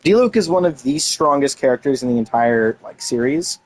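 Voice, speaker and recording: A man's voice: medium pitch, lively intonation, a little fast, poor audio. A man giving casual, informal commentary on a video game as he plays, rambling and searching for words. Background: game music.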